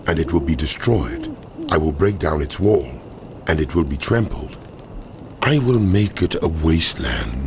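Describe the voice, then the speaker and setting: A man's voice: a bass voice, noisy background, full character voice. A man playing God as a grave, commanding lord pronouncing judgment in a dramatized scripture recording. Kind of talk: dramatized scripture audio acting.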